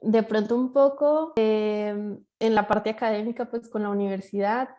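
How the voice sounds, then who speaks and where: A woman's voice: mid-range voice, fairly even intonation, moderate pace, mellow. A young woman from Colombia explaining her experiences on a panel, casually, with fillers and tag questions. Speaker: panel guest, master's student.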